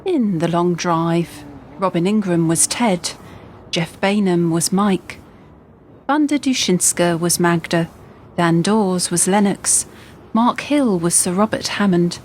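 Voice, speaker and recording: Woman, scripted announcer, lower pitch, radio play credits, noisy ambience.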